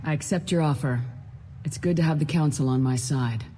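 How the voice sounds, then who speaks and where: Woman acting military commander, deep female voice, dark soft tone, firm, matter-of-fact, video game cutscene. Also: fairly quick, slight background noise, natural voice. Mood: composed.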